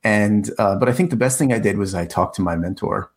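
A man in his forties with a low voice talks through his story in a relaxed, reflective way. He often stops and restarts his sentences. It sounds like a casual interview. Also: a little fast. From United States. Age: 40s. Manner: reflective, with many restarts and fillers. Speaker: guest, corporate communication coach. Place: in the Czech Republic.

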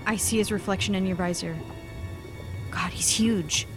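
A woman playing a tough, blunt spaceship crew officer with a brisk, commanding manner in an audio drama. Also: mid-range voice, fairly even intonation, music in the background, natural voice. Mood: wry, wary. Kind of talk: science fiction audio drama.

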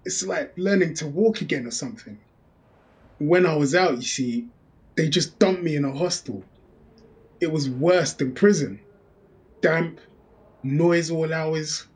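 Male actor as restless male prisoner, slow delivery, plain-spoken, determined to rebuild himself, drama monologue. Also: a little high, darkish tone, close to an everyday voice, rough recording. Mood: determined, frustrated. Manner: plain-spoken, slangy, counting through exercise sets.

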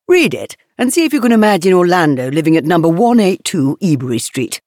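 A refined, aristocratic English woman writer telling her own story in a reflective audio drama. Her voice is expressive and melodic, with wide swings of intonation. The delivery is genteel, measured and literary, with a wistful temper. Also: fairly low pitch, dry recording, studio quality. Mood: fond, wistful. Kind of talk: scripted first-person monologue, reflective storytelling.

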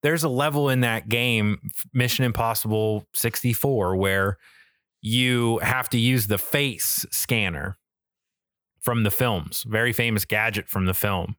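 A man's voice: on the low side, a little slow, a bit bright, no echo, studio quality. A man co-hosting a podcast in casual, enthusiastic chat, swearing freely and often checking in with "right?". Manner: enthusiastic, profane, peppered with "like" and "right?". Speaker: podcast co-host. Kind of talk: casual podcast chat about games and films.

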